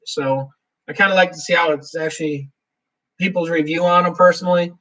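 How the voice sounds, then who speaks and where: A man's voice: fairly high pitch, fairly even intonation, brisk, bright, forceful tone, distant mic, rough recording. A man hosting a casual live Q&A, rambling through his answers and reading viewer comments aloud. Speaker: livestream host.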